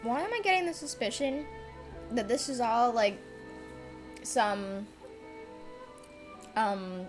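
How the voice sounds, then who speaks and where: A woman's voice: fairly high pitch, takes it slowly, pauses often, over music, poor audio. A woman streamer giving casual, rambling, joking commentary on a game in a hesitant way.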